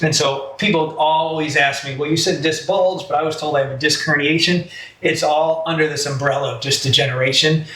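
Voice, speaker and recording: A man's voice: a little high, steady tone, brisk, fairly bright tone. A man explaining medical matters conversationally, as an expert guest on a health podcast. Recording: roomy echo, faint background noise.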